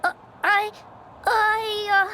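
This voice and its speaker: A woman's voice: extremely high pitch, flat delivery, drawn-out words, sharp tone, a little hiss or hum, full character voice. A woman voicing a timid little girl in a video game, hesitant and stammering. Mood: frightened, guilty. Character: timid, sickly little girl, easily scolded.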